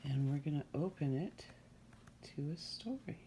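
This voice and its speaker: A woman reading a fairy tale aloud as a storyteller, in an unhurried, expressive storytime manner. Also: deep voice, a little hiss or hum.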